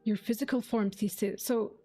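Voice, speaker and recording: A woman's voice: medium pitch, steady tone, moderate pace, mellow, soft background music. A woman streaming a game with casual, reactive commentary, often musing aloud in questions. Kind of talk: casual live gameplay commentary.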